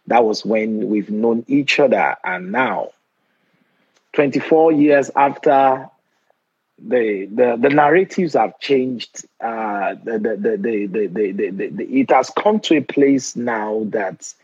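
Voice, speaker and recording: A Nigerian man in his forties with a Nigerian accent, hosting a conversational interview in an earnest, measured way with frequent restarts. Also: mid-range voice, a little mellow, husky, no room sound, highs cut off. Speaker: host.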